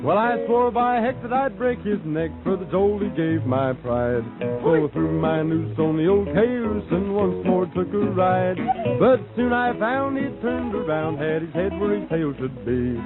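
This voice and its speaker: A man's voice: high-pitched, unhurried. An American man performing a western novelty song as a singer in a group, on vintage AM radio. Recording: tinny old AM radio, loud guitar behind.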